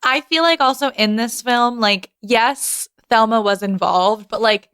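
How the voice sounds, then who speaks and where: A woman's voice: on the high side, unhurried, a bit bright, smooth, no room sound, studio quality. A woman hosting a podcast, chatting casually about film in an informal, emphatic way, often repeating words for emphasis. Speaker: podcast host. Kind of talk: casual film discussion chat.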